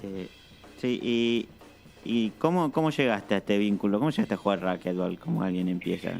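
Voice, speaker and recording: Male host, lowish voice, laid-back interviewer. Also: normal speed, creaky, darkish tone, soft background music. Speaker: radio host. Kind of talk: casual radio interview.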